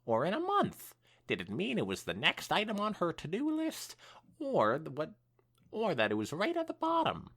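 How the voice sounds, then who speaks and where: A podcast co-host narrates fan fiction. The delivery is highly animated in pitch, moving between storytelling and casual comment. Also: slightly rough.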